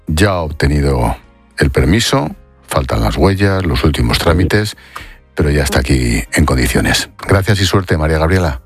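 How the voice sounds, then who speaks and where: A man presenting the news in a measured, formal way and interviewing a guest live. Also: a bass voice, lively intonation, breathy edge, no room sound, faint music behind.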